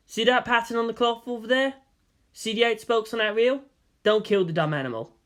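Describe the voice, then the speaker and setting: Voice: medium pitch, brisk, a bit bright, voice pushed up, lightly acted. A narrator voicing an exasperated, sarcastic teenage boy hero in a fantasy story. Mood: irritated.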